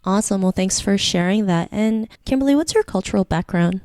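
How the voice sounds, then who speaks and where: A woman's voice: medium pitch, expressive, fairly quick, a little mellow, dry recording, clean studio sound. A woman hosting a podcast who affirms her guest and asks interview questions, often saying "thanks for sharing that.". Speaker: podcast host.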